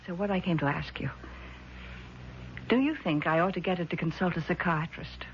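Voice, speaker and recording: An actress playing a worried, evasive woman in a 1970s radio drama, speaking in a hesitant, measured way. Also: lowish voice, close to an everyday voice. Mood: anxious. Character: worried, evasive sister with designs on an estate. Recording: dull, noisy vintage radio recording.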